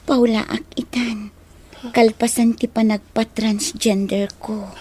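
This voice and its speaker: A woman's voice: on the high side, flat delivery, slow pace, darkish tone, breathy edge. An actress playing an earnest, hesitant young woman confessing to a sweetheart in a radio drama, with an Ilocano accent. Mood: serious, confessing. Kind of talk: Filipino radio drama acting.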